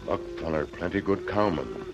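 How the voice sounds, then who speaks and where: An actor playing a stoic, loyal sidekick in an old-time radio Western, speaking in clipped pidgin English. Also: male voice, very deep voice, expressive, normal speed, over music. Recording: muffled, echoey vintage radio recording.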